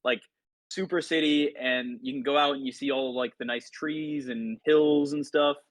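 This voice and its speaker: A man's voice: medium pitch, fairly even intonation, normal speed, dry recording. A man who grew up in Italy, a podcast guest chatting in a loose, enthusiastic, rambling way. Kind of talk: casual podcast chat.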